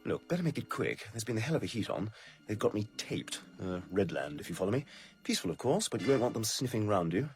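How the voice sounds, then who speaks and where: A man's voice: lowish voice, big pitch swings, fast talker, faint music behind. An actor with an upper-class British accent playing an eager, chummy intelligence man in a radio drama, full of plummy exclamations. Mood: excited, confiding.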